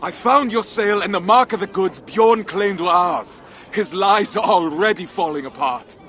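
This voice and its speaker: A man's voice: high voice, normal speed, fairly bright tone, a little hiss or hum. A man playing a proud, determined Viking trader and warrior in a video game, with a rousing, declamatory delivery. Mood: resolute. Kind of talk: dramatic video game acting.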